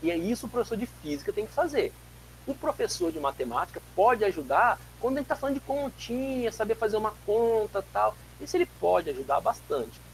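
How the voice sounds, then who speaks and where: A man in his forties, from Brazil, giving an animated physics lecture over a video call from a home office, telling stories with frequent restarts. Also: high-pitched, brisk, slight background noise, poor audio. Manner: animated, gestures a lot, tells anecdotes with many restarts. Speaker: guest lecturer, physics teacher. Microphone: webcam mic on a video call.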